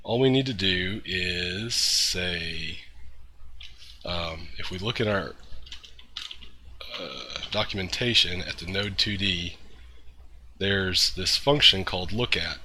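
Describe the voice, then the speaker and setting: A congested man walks through a coding tutorial in a relaxed, step-by-step way. His voice sits fairly low but has a very bright, forward edge. A faint hiss runs under the recording. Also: slow, pauses often. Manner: relaxed, talks through steps with fillers and throat-clearing. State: congested from a cold. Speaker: programming tutorial host.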